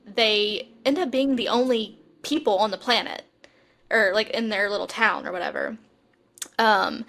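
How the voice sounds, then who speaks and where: A woman co-hosting a podcast in casual, chatty conversation, informal and animated, with frequent asides. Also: mid-range voice. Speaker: podcast co-host. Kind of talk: casual chat about TV shows.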